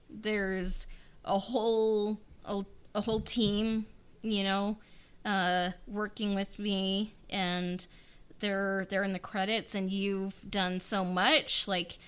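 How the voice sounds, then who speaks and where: A woman with a mid-pitched voice talks casually as a guest on a podcast. She is sincere and modest, feeling her way tentatively through her thoughts. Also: a bit bright, clear, resonant tone. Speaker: podcast guest, audio drama writer and director. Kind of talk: casual interview chat.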